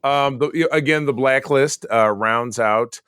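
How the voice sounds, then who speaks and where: A hoarse man co-hosting a podcast, chatting casually and joking through a sign-off, from Michigan. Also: medium pitch, unhurried, a bit bright, dry recording, studio quality. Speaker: podcast co-host. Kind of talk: casual chat.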